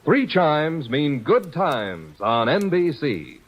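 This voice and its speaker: A man's voice: on the high side, slow, dull audio. A man announcing the credits and program notes in a formal 1940s radio style. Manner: formal, crisp and lively. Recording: old radio broadcast with music.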